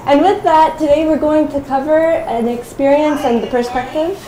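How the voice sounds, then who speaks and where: A woman's voice: high voice, moderate pace, warm tone. A woman speaking as the moderator of a live-streamed student panel discussion. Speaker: moderator, MBA student and podcaster. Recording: roomy echo, faint background noise.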